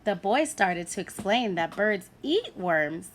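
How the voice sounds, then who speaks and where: Animated woman teacher reading a picture book. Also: mid-range voice, takes it slowly, slight background noise. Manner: animated storytelling, asks listeners questions. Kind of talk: children's picture-book read-aloud.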